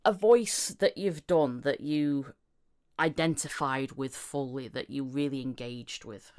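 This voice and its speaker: A woman hosting a casual podcast interview, giggly and quick to laugh as she asks her questions. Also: extremely low pitch, a little mellow. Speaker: podcast host.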